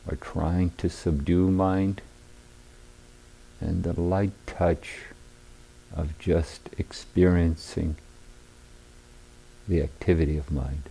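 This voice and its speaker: Male meditation guide, very dark mellow tone, husky, unhurried, leaving long pauses between short phrases. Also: low-pitched, steady tone, low-quality recording. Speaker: meditation teacher. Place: meditation retreat hall.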